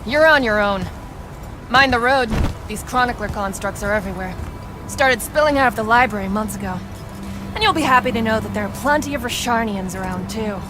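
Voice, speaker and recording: A woman's voice: a little high, brisk, natural voice. A woman acting a blunt, defiant rebel over music. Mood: dismissive, irritated. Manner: blunt, sardonic, scornful. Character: defiant, blunt, cynical young female rebel. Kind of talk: video game cutscene acting.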